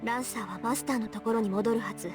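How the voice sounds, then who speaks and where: A woman playing a proud, sharp-tongued teenage girl mage in a Japanese anime visual novel, with music behind her. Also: a little high, steady tone, very fast, lightly acted. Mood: urgent.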